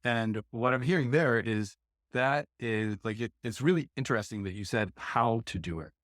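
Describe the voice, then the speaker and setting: A man's voice: medium pitch, moderate pace, a bit bright, no room sound, clean studio sound. A man hosting a podcast, musing aloud and looking for words with frequent fillers. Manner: rambling, searching for words, many "right?"s. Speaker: podcast host. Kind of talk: podcast interview, reflective questioning.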